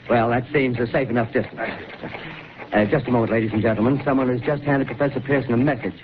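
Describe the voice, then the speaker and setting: An actor playing an eager radio reporter. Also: male voice, medium pitch, steady tone, close to an everyday voice. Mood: earnest. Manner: eager, slightly halting newsman. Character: male radio reporter at an observatory, earnest. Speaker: field commentator. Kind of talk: 1930s radio drama acting. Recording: noisy tinny 1930s radio broadcast.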